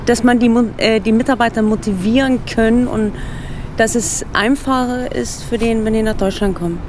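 A middle-aged woman with a Swedish accent speaks German as her third language. Her voice sits fairly high, and she pauses often with fillers, slipping now and then on grammar. A faint hum of noise runs behind her. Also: steady tone. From Sweden. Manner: hesitant, many fillers, non-native grammar slips. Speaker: relocation consultant, radio guest. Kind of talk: casual interview answers.

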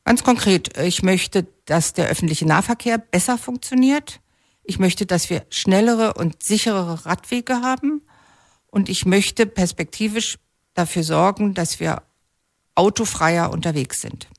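A woman from Berlin speaking as a candidate in a radio interview. Her voice has a middle pitch and is recorded close and dry, with a conversational political delivery. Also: a little slow, no room sound. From Berlin, Germany. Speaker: mayoral candidate being interviewed.

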